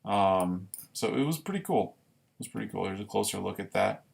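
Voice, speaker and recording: A man chatting casually as a livestream host, rambling and halting, often correcting himself as he goes. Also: fairly low pitch, lively intonation, gravelly. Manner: rambling, halting delivery with frequent self-corrections. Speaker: amateur writer.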